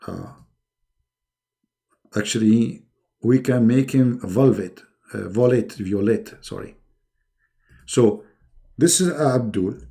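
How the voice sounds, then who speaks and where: A man's voice: mid-range voice, slow pace, vocal fry, pauses often, warm tone. A man speaking English as a second language, hosting a live-stream debate in a sarcastic, mocking way and repeating words for emphasis. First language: not English.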